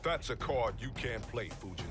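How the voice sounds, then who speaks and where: An actor playing a gruff, bitter veteran soldier who talks in a blunt, slangy, tough way, in a video game cutscene. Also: male voice, medium pitch, expressive, normal speed, over music, close to an everyday voice. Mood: defiant.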